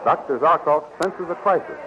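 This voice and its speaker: A man's voice: fairly high pitch, moderate pace. A man narrating an adventure serial and announcing in dramatic 1930s radio style. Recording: muffled old radio recording, faint hiss.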